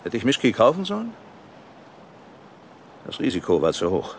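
An actor playing a ruthless, defiant older company boss in a German radio drama, arguing forcefully and with contempt. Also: male voice, mid-range voice, huge pitch swings, normal speed, slight background noise. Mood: defiant, cold. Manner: forceful, rhetorical, self-justifying.

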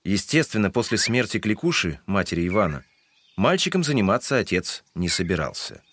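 A man's voice: lowish voice, big pitch swings, fairly quick, fairly bright tone. A man giving scripted literary narration in a Russian audio drama.

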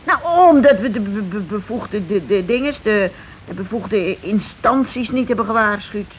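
An actress playing a meek, anxious working-class housewife in a Dutch radio play, speaking plainly and hesitantly. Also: female voice, medium pitch, measured pace, lightly acted. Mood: frightened. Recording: dull, noisy old radio recording.

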